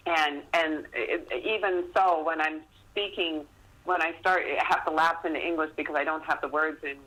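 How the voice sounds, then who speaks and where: A woman's voice: deep voice, normal speed, low-quality recording. A middle-aged woman, telling stories casually as an interview guest, chatty and self-deprecating. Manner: chatty, self-deprecating, animated retelling of exchanges. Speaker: podcast guest, author.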